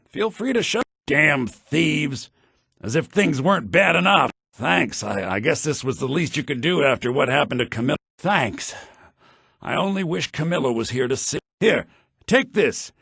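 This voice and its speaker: An actor playing a fussy, fretful shopkeeper in a fantasy video game, with a flustered, earnest manner. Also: male voice, medium pitch, vocal fry, a bit bright, husky, dry recording, studio quality, exaggerated voice. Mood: flustered, worried, then grateful, relieved.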